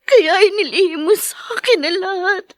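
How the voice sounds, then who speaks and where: A woman's voice: extremely high pitch, moderate pace, no room sound, clean studio sound. An actress playing a young woman grieving her mother, tearful and pleading. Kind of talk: radio-style drama acting.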